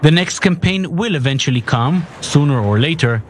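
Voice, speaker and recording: A man's voice: fairly high pitch, expressive, moderate pace, slight background noise. A man reading a scripted news report as a narrator.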